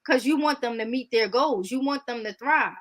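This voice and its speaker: A woman's voice: a little high, moderate pace. A woman answering as an interview guest in an informal, candid way, repeating points for emphasis.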